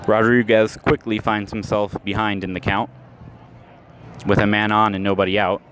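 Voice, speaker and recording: Man calling baseball in a noisy ballpark. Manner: steady, measured call mixing pitch-by-pitch action with stats. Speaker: play-by-play announcer. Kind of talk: baseball radio play-by-play commentary. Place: outdoor ballpark during a live game. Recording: noisy outdoor ballpark ambience.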